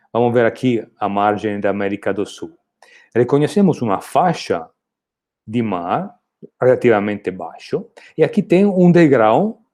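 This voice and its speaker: A university lecturer with an Italian accent, a man speaking his second language. His voice is dark and mellow, and his explanations are steady, with small hesitations and restarts as he walks through the slides. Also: medium pitch. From Italy. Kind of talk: recorded online lecture.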